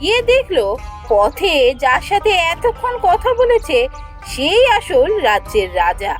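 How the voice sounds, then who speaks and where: Female cartoon storyteller, high voice with animated swings, reading scripted fairy-tale narration over music. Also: measured pace. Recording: music under the voice.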